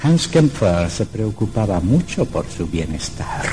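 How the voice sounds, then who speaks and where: An actor playing a sinister storyteller, narrating an old radio horror drama in a theatrical, literary style. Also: male voice, lowish voice, very animated, a little slow, dark tone, a little hiss or hum. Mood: ominous.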